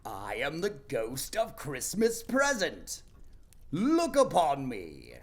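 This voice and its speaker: A man playing a jolly, commanding giant spirit in an audio play, declaiming slowly and grandly. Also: wildly swooping pitch, exaggerated voice. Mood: hearty, commanding. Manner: booming, slow, grandly declaiming. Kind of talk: theatrical audio play acting.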